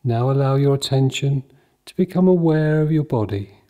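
A man leading a guided mindfulness meditation in a calm, measured, soothing manner, leaving long pauses between short phrases. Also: medium pitch.

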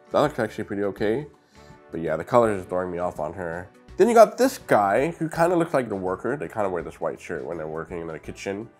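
Chatty middle-aged man, low voice, sniffly. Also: darkish tone. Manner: chatty, upbeat, talks to viewers directly. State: sniffly nose. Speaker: toy brick reviewer. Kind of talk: casual product review. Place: indoor room lined with shelves of brick sets. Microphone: clip-on lapel mic.

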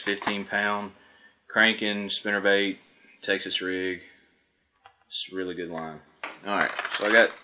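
Deep-voiced man, casual unboxing talk. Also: pushed and bright. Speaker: fishing video host. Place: indoors at a table before a backdrop.

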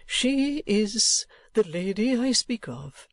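Storybook old gentleman, played by a man: high, slow, kindly, earnest and sentimental, emphatic and halting. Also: sing-song, no echo, heavily acted. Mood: grave, hesitant. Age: older. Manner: emphatic, repetitive, halting, drawn-out delivery.

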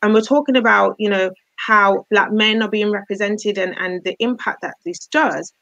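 A woman speaking in a measured, reflective way as a panel moderator over a video call. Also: medium pitch, creaky, fairly bright tone, dry recording. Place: room at home. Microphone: laptop webcam on a video call. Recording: muffled video-call audio.

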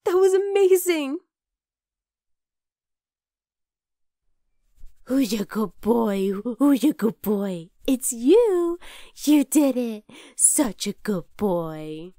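A woman's voice: a little high, very animated, slow pace, warm tone, no room sound, studio quality. A woman playing a young wolf-girl girlfriend in an intimate ASMR roleplay, affectionate, teasing and coaxing. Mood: excited, proud. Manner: cooing, playful, coaxing.

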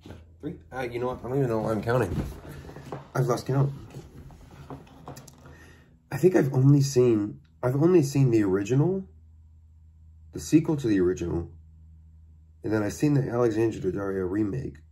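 Male, 20s, mid-pitched and a bit rough-edged, casual video host, dry delivery, recorded in a home bedroom. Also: moderate pace, pauses often, warm tone. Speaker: host of a trailer reaction video. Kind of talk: casual reaction commentary.

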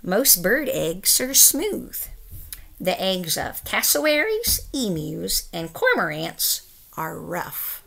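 A woman's voice: lowish voice, sing-song, slow, gravelly, a bit bright. A middle-aged woman reading a children's picture book aloud, with careful, emphatic phrasing for young listeners. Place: small room at home, indoors.

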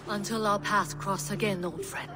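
A woman's voice: mid-range voice, steady tone, normal speed, music in the background, close to an everyday voice. An actress playing a loyal, frank and dry-witted Norse woman in a video game drama. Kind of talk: dramatic game cutscene acting.

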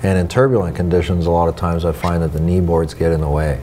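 A man's voice: sits low, fairly even intonation, fast, dark tone, a little hiss or hum. A middle-aged man explaining things as a flight instructor in a plain-spoken, folksy way. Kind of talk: instructional conversation. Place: small office indoors.

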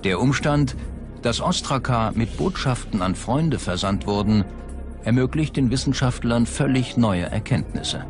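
A man's voice: mid-range voice, lively intonation, a little slow. A man reading scripted narration as a documentary narrator. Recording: loud music behind the voice. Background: music bed.

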